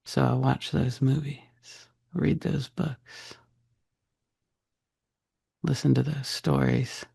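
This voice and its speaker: A man leading a guided meditation in a soft, breathy, husky voice, speaking deliberately in short phrases with long pauses. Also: mid-range voice, little pitch movement. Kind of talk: guided meditation, slow soothing narration.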